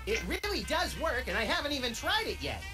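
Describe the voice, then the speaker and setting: A man voicing a manic little male rabbit-like sidekick in a video game, with deadpan wisecracks. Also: extremely high pitch, fairly quick, full character voice. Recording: game audio under music.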